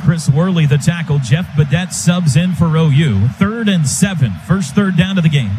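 An American man works as a radio football announcer, calling plays live. His voice sits fairly high and he talks in clipped, excitable phrases. Clear stadium noise runs behind him. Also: moderate pace. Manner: clipped phrases, excited calls rising with the action. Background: stadium ambience.

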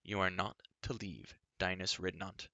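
An earnest young adventurer of a storybook, voiced by a man, speaking in a bright, forceful tone. He is grave and firm, with an anxious, pleading edge, and the sound is close and dry. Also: fairly low pitch, fairly quick, dry recording, highs cut off. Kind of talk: acted audiobook dialogue.

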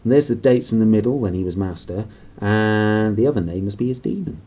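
Male reader as stubborn young storybook girl, confident, plain-spoken, mellow husky tone. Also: on the low side, fairly quick, lightly acted. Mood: knowing.

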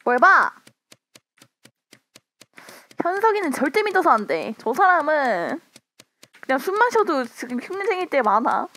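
A woman streaming a social deduction game, playful and excitable, laughing often. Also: high voice, normal speed. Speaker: streamer hosting a multiplayer game. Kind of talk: casual game chat with viewers and players.

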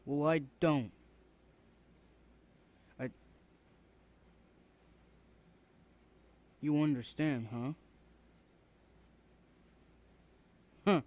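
A man's voice: on the high side, takes it slowly, a little mellow. A man playing a rude, protective older brother in an ASMR roleplay, confrontational and demanding, swearing freely. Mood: stern, protective.

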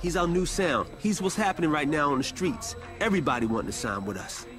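An actor playing a confident veteran hip-hop label boss in a video game, talking in smooth street slang. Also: male voice, fairly high pitch, big pitch swings, brisk, over music. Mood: placating, assertive. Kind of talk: video game cutscene acting.

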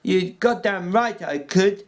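A reader putting on a big, childlike ranch hand whose voice climbs high and slows as he begs and repeats himself. His words come simple and eager. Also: natural voice. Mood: fierce.

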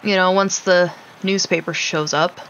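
A woman's voice: lowish voice, fairly even intonation, measured pace, fairly bright tone, dull audio, slight background noise. A woman giving casual, wry gameplay commentary, talking to her game characters as she plays. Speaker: gaming streamer.

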